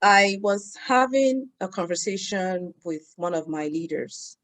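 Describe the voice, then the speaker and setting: A woman, a guest in an interview, telling stories in a measured, lively way. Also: mid-range voice. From Nigeria. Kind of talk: conversational interview storytelling.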